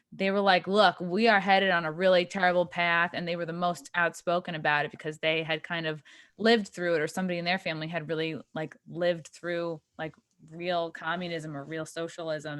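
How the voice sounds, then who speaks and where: A woman hosts a podcast in a chatty, wry way. Her voice is a little low for a woman. The sound is dry and close. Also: fairly bright tone, no room sound. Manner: chatty, wry, full of like and you know. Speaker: podcast host. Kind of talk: casual podcast conversation.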